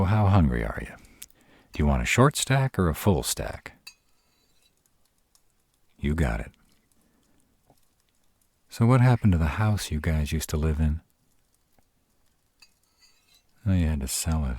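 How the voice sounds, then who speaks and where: Casting: male actor as gruff tsundere were-dragon boyfriend, animated swings in pitch, close dry sound, tender and guarded, romantic audio drama. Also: no room sound, full character voice. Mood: casual, caring. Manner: tsundere, teasing yet caring, hesitant pauses. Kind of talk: romantic fantasy audio roleplay, speaking to the listener.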